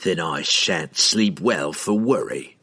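Cold male assassin, performed by a narrator, very low growl, slow, quiet menace, curt, contemptuous, fantasy audiobook. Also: very animated, vocal fry, breathy edge, exaggerated voice. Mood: guarded, scornful.

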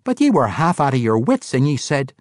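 A man's voice: medium pitch, very animated, fairly quick, dry recording, voice pushed up, close to an everyday voice. Guy putting on a serious dwarf priest voice, dry studio read. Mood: earnest. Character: dwarf high priest, awed and earnest.

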